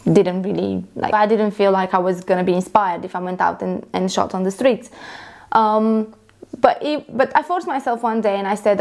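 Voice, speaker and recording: A woman's voice: medium pitch, darkish tone. A young woman from Romania, a photographer vlogger, talking casually to camera and rambling with many fillers and self-corrections.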